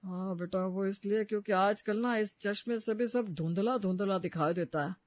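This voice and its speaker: A man's voice: high-pitched, normal speed, no echo, lo-fi sound, natural voice. A man playing a mild, frail elderly grandfather in a dramatised family story. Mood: frail, gentle. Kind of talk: dramatised dialogue in an audio story.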